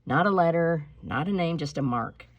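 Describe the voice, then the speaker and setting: A woman reading a storybook aloud like a teacher, with deliberate, expressive phrasing and instructive delivery. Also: low voice, highs cut off. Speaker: teacher reading a picture book aloud to pupils.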